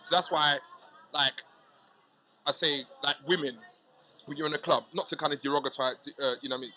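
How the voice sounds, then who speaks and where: A man chatting casually as a hip hop artist guest, animated and slangy, often asking if you know what he's saying. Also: fairly high pitch, brisk. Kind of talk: casual podcast chat.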